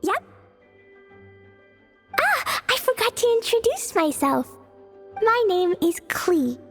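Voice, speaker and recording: A woman playing a sweet, innocent, excitable little girl in an anime video game, with an earnest childlike manner. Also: very high voice, slow, soft background music, heavily acted. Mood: earnest, nervous.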